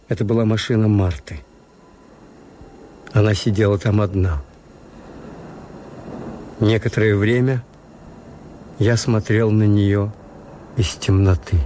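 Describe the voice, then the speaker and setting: An actor playing a world-weary hotel owner, narrating in a measured, literary way in a Soviet radio drama. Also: male voice, lowish voice, dark tone, slight background noise. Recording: music bed, Soviet radio production.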